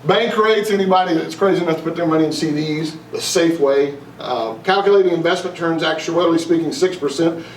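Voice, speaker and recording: A middle-aged man with a Texan drawl speaks to a seminar audience in a folksy way, asking the room question after question. His voice sits a bit high and is picked up from a distance in a big echoing room. A faint hiss runs under it. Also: fairly quick, hardly any pauses. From East Texas. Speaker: investment speaker. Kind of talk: live seminar talk to an audience. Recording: roomy, distant, faint noise.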